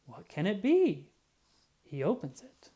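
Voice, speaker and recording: A woman reading a children's storybook aloud in an expressive, unhurried way. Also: mid-range voice. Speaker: children's book reader.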